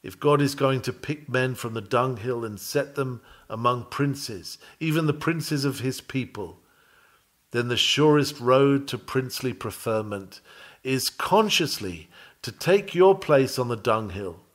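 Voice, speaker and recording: A man's voice: mid-range voice, animated, a little slow. A man reading a historical sermon aloud as a preacher would, in an earnest, formal, rhetorical manner. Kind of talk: sermon read aloud, solemn measured preaching.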